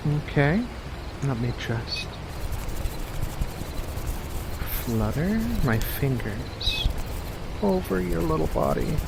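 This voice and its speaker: Guy doing a playful furry witch in asmr, soft spoken n teasing, really slow, outdoorsy noise behind. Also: medium pitch, very animated, creaky, heavily acted. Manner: soft-spoken, teasing, unhurried, coddling with endearments. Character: playful, mischievous furry witch living alone in the woods. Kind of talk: ASMR roleplay.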